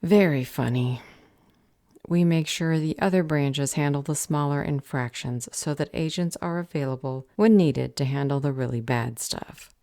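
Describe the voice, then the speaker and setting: Lady doing an office clerk in a fantasy roleplay, low voice, matter-of-fact, officious, no room sound. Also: moderate pace, warm tone, no room sound, clean studio sound. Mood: dryly explaining. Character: brisk, unflappable female magical government clerk. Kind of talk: audio roleplay acting.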